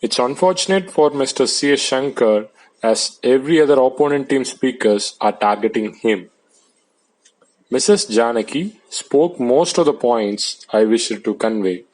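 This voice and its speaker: A man, reading out translated debate speeches in a plain, measured way. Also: medium pitch, darkish tone, poor audio.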